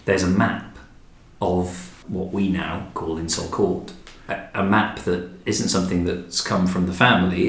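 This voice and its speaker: A man hosting a podcast, describing things conversationally and in a measured way with pauses between short phrases. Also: deep voice. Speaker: podcast host, historic house director. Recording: roomy, echoing indoor sound.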